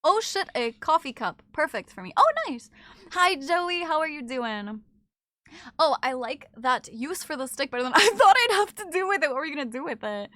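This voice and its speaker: Woman, bright chirpy voice, casual stream chat, dry sound. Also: sits high, animated, normal speed, no room sound. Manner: bubbly, swears freely, teasing. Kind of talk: casual live-stream chat reading viewer comments.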